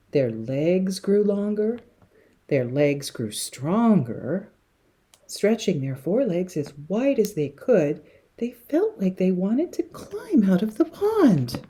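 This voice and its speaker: A woman reads a children's story aloud in a low, mellow voice with a husky edge. She takes her time and swings widely in pitch, dropping to a hush for suspense and leaning hard on key words. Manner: dramatic, with hushed passages and emphatic words. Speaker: teacher reading a picture book to young children.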